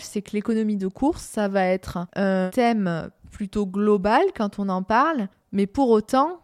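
A woman's voice: mid-range voice, dark tone, dry recording. Woman explaining casually, close and dry. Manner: thinks aloud, with frequent hesitations and restarts. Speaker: running podcast host. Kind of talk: casual explanatory talk on running physiology.

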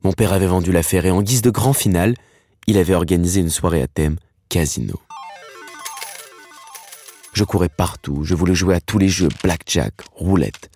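Very deep-voiced man narrating. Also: darkish tone, breathy edge, dry recording, soft background music. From Paris, France. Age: 30s. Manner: wry, slangy, dramatic pauses. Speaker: narrator telling his own life story. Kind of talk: scripted first-person narration.

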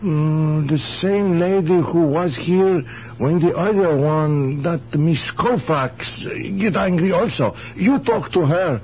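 Halting, anxious immigrant grocer. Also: male voice, on the high side. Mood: nervous, placating. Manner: halting, broken English, defensive. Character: meek, anxious immigrant grocer protesting his innocence. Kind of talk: radio drama acting. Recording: dull, hissy old radio broadcast with hum.

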